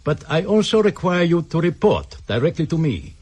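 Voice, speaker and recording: An actor playing a commanding, manipulative Soviet intelligence chairman in a radio drama, speaking in a measured, imperious way. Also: on the high side, very animated, darkish tone, husky. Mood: insinuating.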